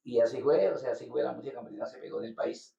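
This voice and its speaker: Gravelly Colombian-born man, distant mic. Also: mid-range voice, dark tone. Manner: halting, repeats words while searching for them. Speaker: guest musician. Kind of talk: casual interview. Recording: roomy, distant, dull lo-fi sound.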